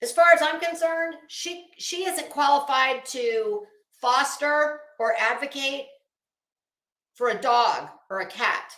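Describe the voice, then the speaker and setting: High-pitched woman ranting slowly in echoey room. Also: a bit bright. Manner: emphatic, sarcastic, challenging; blunt, coarse language. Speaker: livestream host. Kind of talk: casual livestream rant to a chat audience.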